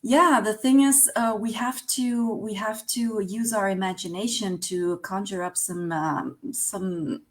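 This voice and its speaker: A woman's voice: mid-range voice, steady tone, measured pace, few pauses. A Spanish woman in her forties, speaking English with a Spanish accent as an interview guest, hesitant and searching for words with many fillers. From Spain. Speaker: guest, online business manager. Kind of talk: casual interview chat.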